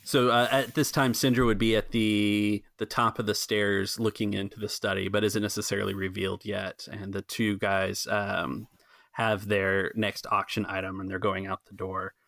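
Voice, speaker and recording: A man's voice: on the low side, little pitch movement, normal speed, gravelly, fairly bright tone, no room sound, clean studio sound. A man acting as game master, narrating and explaining a tabletop game in an easygoing, casual way.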